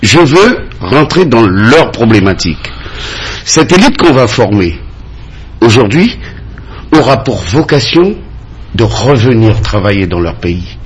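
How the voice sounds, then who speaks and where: Husky, insistent man. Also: medium pitch, big pitch swings, a little slow. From French Guiana. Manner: insistent, emphatic, repeats phrases to drive points home. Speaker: political candidate in a debate. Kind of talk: radio campaign debate. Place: radio studio.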